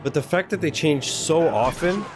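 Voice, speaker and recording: A man's voice: fairly high pitch, expressive, normal speed. A man streaming his reactions to game cutscenes in casual, joking chat, swearing freely. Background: video game cutscene audio and music.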